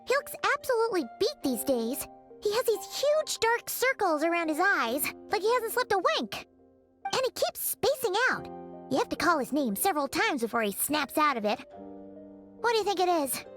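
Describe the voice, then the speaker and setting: Tiny fairy girl companion, very high voice, bouncy lively pitch, chatty, game character. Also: normal speed, soft background music, exaggerated voice. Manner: high, bouncy, talks about herself in third person.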